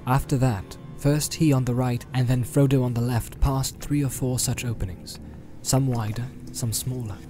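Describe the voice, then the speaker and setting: A man reads dramatic fantasy narration in a medium-pitched voice. He is solemn and measured, drawing out phrases, while music plays clearly behind him. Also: steady tone. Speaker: audiobook narrator.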